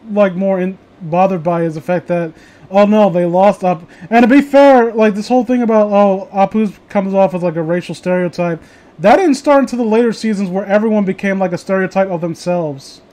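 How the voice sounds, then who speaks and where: A man's voice: sits high, a little fast, warm tone, low-quality recording. A man chatting casually with friends in a rambling, opinionated, sweary way. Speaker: host of a reading show.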